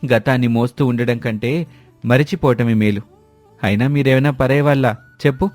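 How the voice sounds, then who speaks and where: Cartoon moral tale: gentle, trusting young fisherman brother, voiced by a man, mellow, faint music bed. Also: medium pitch, a little fast, dry recording, natural voice. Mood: kind, generous. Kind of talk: dramatised moral story dialogue.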